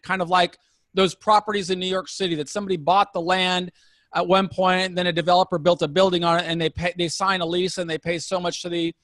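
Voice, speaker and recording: A man in his fifties asking questions in a conversational interview, inquisitive and deliberate, restating points to confirm them. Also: high-pitched, a bit bright, no room sound, studio quality. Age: 50s. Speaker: interviewer asking about retirement investing.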